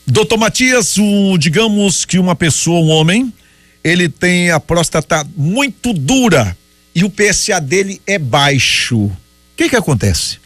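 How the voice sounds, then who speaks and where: Male radio host, animated and brisk, a touch off mic. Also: high-pitched, no room sound. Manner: lively, brisk, friendly to callers. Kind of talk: radio call-in hosting. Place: radio studio.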